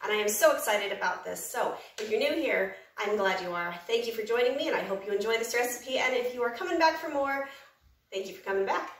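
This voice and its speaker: A woman's voice: a little high, lively intonation, fast talker, echoey room, distant mic. An American woman hosting a cooking vlog from her home kitchen, chatty and upbeat, with rambling asides. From United States. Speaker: cooking channel host.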